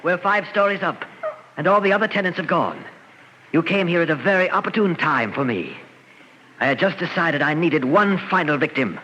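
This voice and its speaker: A man's voice: a little high, animated, moderate pace, bright, forceful tone. An actor playing a deranged, grandiose sculptor who believes he is Death, in an old-time radio drama. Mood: menacing glee. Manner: theatrical, grandiose monologuing. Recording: dull, noisy vintage radio recording.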